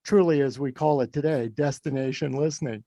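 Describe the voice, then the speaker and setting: A man with a gravelly, mellow voice hosts a conversational interview. He talks in a thoughtful, halting way, and the recording is close and dry. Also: medium pitch, dry recording. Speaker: interviewer, podcast host.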